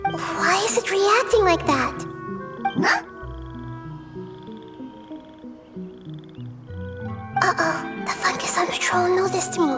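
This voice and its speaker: A woman voicing a gentle, wise little child goddess in a video game, composed and articulate with a soft, childlike voice. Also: very high voice, measured pace. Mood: cautious. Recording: loud background music.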